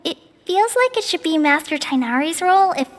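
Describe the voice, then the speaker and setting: A woman's voice: very high-pitched, expressive, brisk, in a large hall. A woman voicing a shy, modest young ranger who talks hesitantly and doubts herself, in a game cutscene. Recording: game audio under loud music.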